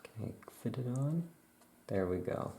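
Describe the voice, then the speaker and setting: A performer voicing a forgetful, easygoing witch shopkeeper in a soft-spoken, halting ASMR roleplay, rambling absent-mindedly. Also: mid-range voice, animated, slight background noise. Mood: absent-minded, relaxed.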